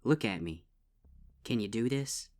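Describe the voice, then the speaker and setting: A man's voice: fairly low pitch, animated, a little slow, a little mellow, pitched above the performer's own voice. A man voicing a stern, protective older-sister farm pony with a drawl. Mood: solemn. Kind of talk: fanfic audiobook reading.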